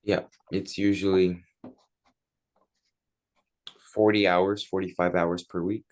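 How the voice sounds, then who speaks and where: A man's voice: low-pitched, flat delivery, moderate pace, darkish tone. A teenage man from Turkey, answering interview questions in casual, chatty English. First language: Turkish. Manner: chatty, many likes and you-knows. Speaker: guest.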